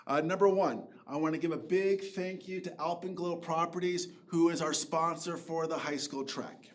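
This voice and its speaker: Emcee reading formal opening remarks. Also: male voice, a little high, steady tone, moderate pace. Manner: read-out formal, then loose with fillers. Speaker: event emcee.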